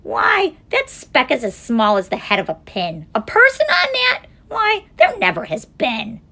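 A sour kangaroo mother from a children's storybook, acted by a woman. Her voice jumps high and swings widely as she scoffs. She is scornful and emphatic, looking down on everyone. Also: huge pitch swings, unhurried, sharp tone, full character voice.

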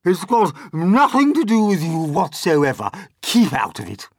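A loud, blustering fat aunt from a storybook, pitched up high. She is excitable and exclaims in big, swooping, booming bursts. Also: a little slow, husky, no room sound, studio quality, heavily acted. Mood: scolding. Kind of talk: audiobook character voice.

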